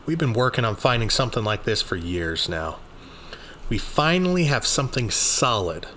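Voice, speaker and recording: A man playing an eager, stubborn amateur monster investigator in an audio story, excited and incredulous. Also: mid-range voice, moderate pace, a little hiss or hum. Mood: eager pleading. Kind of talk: acted dialogue in an audio story.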